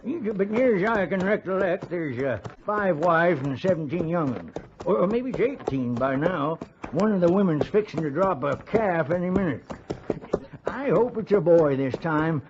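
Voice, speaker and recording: A man's voice: high-pitched, lively intonation, moderate pace. An actor playing a spry, boastful old frontiersman with a folksy American Western drawl, in a 1950s radio Western. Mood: merry, boastful. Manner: folksy drawl, rambling, chuckling, chatty. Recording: dull, hissy 1950s radio recording.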